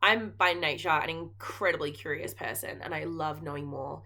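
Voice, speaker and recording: A woman's voice: sits low, fairly even intonation, moderate pace, vocal fry, bright, forceful tone. An Australian woman, a knitting podcast host and geography teacher, chatting earnestly to camera with lots of ums and likes. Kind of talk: casual chat to camera.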